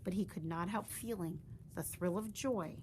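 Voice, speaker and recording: A woman reading a children's fairy tale aloud in a gentle, expressive storytelling manner. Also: on the low side, moderate pace, noisy background.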